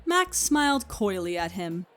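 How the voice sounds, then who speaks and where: A woman's voice: mid-range voice, sing-song, measured pace. Woman narrating a story over music.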